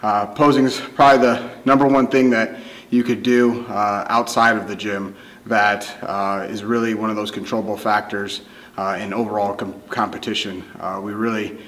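An American man in his thirties speaks in a fairly low voice with very little melody. He talks casually to camera as a competitor would, in measured, halting phrases. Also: level tone, a bit bright. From Akron, Ohio, United States. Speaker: bodybuilding competitor. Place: indoor gym photo studio.

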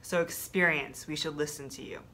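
A woman's voice: sits low, steady tone, a little fast, very noisy. A woman in her thirties with an American accent, playfully hosting a casual language lesson and chatting. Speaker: host and learner. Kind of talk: casual scripted-light language lesson chat. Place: small plain room indoors.

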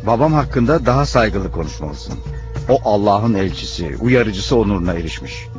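A man's voice: fairly low pitch, lively intonation, moderate pace, over music, natural voice. A man voicing a prophet's loyal, pious grown son in a religious cartoon, speaking earnestly and respectfully but firmly. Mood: reproachful. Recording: dull lo-fi cartoon soundtrack.

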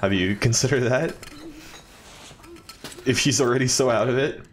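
A man's voice: medium pitch, normal speed, slight background noise. A young man giving casual, sarcastic commentary while playing a video game. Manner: sarcastic, dry quips. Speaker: let's player. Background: game audio and dialogue.

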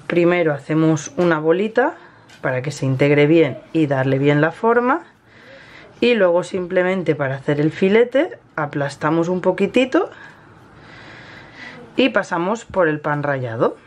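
A woman's voice: low voice, expressive, a little slow, a little mellow. A woman with an accent from Spain gives a casual, chatty cooking tutorial, explaining each step informally as host. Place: home kitchen, indoors. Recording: kitchen noise, frying and stirring sounds.

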